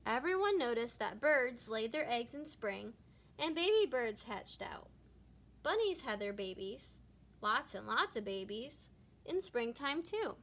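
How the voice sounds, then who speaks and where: A kindly preacher bear from a children's storybook, voiced by a woman reader. The voice is high, and he explains things patiently, with measured earnestness. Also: big pitch swings, fairly bright tone, full character voice. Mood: patient explaining.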